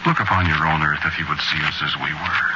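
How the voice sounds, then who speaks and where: Deep, solemn radio narrator. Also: male voice, heavy background noise. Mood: solemn, elegiac. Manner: solemn, measured, oratorical. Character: solemn male reporter from a perished world, narrating. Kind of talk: 1940s radio drama narration. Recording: muffled 1940s radio broadcast recording.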